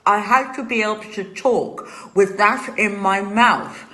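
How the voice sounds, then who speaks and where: A woman's voice: medium pitch, unhurried, a bit bright, echoey room. A woman hosts a casual chat from home, answering viewer questions. She speaks with carefully trained, precise articulation. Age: 60s. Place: home room.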